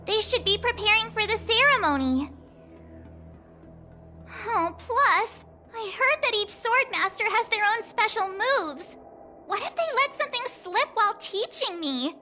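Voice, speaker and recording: A woman voicing a perky, unsure teenage girl apprentice in a video game, with a bubbly, hesitant, expressive manner. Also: extremely high pitch, heavily acted.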